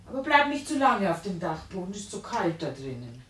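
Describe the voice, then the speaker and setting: A woman's voice: medium pitch, big pitch swings, brisk, lightly acted. An actress playing an anxious, caring wife and mother in a 1950s German radio drama, speaking in a solicitous, pleading way. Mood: motherly concern. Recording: roomy, hissy 1950s radio recording.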